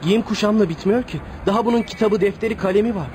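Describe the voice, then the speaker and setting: A man's voice: high-pitched, fast talker, crowd noise, close to an everyday voice. An actor playing a troubled, protective sixteen-year-old son in a radio drama, earnest and downcast as he protests. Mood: exasperated, worried. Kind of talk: scripted radio drama acting.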